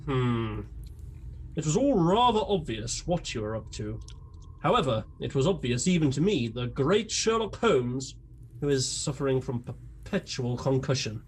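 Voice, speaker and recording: A performer playing a vain gentleman detective in a comedy radio play, pompous, dry and self-important. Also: mid-range voice, sing-song, measured pace, slight background noise, poor audio. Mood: pompous deduction.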